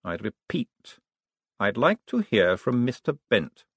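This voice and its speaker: A man voicing a dryly witty, commanding ruler in an audiobook, measured and coolly in control. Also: fairly low pitch, very animated, a bit bright, slightly rough, no room sound, studio quality, heavily acted. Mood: cool, courteous. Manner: measured, deliberate, authoritative.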